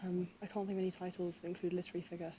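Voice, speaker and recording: Young woman, quiz contestant, fast, mellow tone, faint background noise. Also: fairly low pitch, level tone. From North London, England. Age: young adult.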